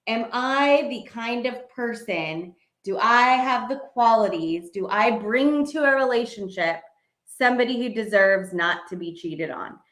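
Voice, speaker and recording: A woman's voice: medium pitch, a bit bright. A woman co-hosting a motivational talk, speaking in a chatty, direct way as she gives advice. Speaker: co-host. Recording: echoey room.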